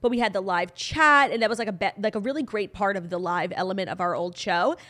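Slightly hoarse woman gushing on a podcast. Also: medium pitch, sharp tone. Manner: gushing, fast, heavy use of "like". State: a little hoarse. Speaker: podcast co-host. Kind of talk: casual chat.

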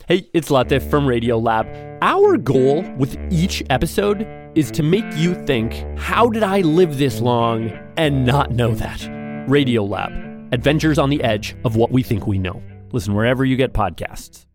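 A man's voice: mid-range voice, animated, moderate pace. Man reading a promo over guitar music. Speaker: podcast promo host. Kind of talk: scripted show promo.

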